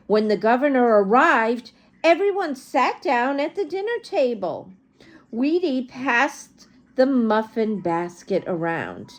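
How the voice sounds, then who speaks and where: A woman storyteller reading a children's storybook aloud in an animated way, with chatty asides to young listeners. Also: high voice.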